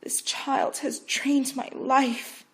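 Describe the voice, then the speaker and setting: A woman voicing a weak, dying young woman in labor, with a faint, breathy plea. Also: a little high, moderate pace, pitched above the performer's own voice, rough recording.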